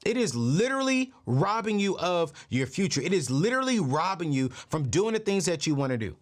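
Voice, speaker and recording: A man in his late thirties hosting a personal finance show, giving a motivational talk with a preachy, energetic delivery. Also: high-pitched, brisk. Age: 30s. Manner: preachy, energetic, repeats phrases. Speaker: podcast host.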